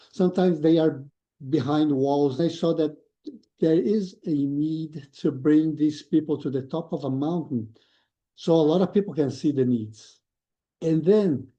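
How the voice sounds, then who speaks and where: Male guest, thoughtful and explanatory, very dark tone, lo-fi audio. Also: fairly high pitch. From Brazil. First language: Portuguese. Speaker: guest, lean consultant. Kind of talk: podcast interview.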